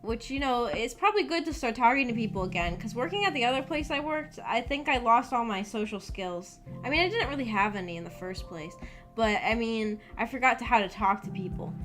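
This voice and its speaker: A woman's voice: fairly high pitch, big pitch swings, normal speed, a bit bright. Casting: young woman, livestreamer, hesitant and shy, meandering casual chat, music playing underneath. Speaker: streamer. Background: background music.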